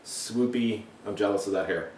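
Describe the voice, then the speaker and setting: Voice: low-pitched, normal speed. Playful storyteller in an echoey room. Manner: animated, playful, dramatic emphasis. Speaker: read-aloud storyteller. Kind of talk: expressive picture-book reading with chatty asides. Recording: echoey room, distant mic.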